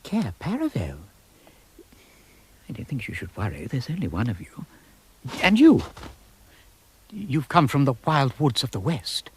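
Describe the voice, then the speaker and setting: A man's voice: medium pitch, wildly swooping pitch, unhurried, pauses often, a little hiss or hum. A British man playing a timid, polite faun in a radio drama, hesitant and courteous, easily flustered and eager to please. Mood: earnest. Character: timid, polite, excitable male faun, fussy and hospitable.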